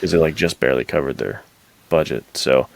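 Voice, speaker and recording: Deep, gravelly young American guy talking movies. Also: brisk, no room sound. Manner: slangy, opinionated. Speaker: podcast co-host. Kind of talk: casual movie discussion.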